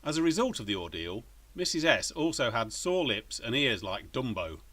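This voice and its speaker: A man's voice: medium pitch, very animated, moderate pace, a bit bright. A man narrating a children's story as its author, in a scripted reading style.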